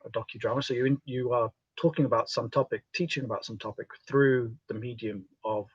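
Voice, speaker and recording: A man's voice: medium pitch, fairly even intonation, brisk, breathy edge, dry recording, muffled recording. A man explaining things casually as a panelist on a talk show.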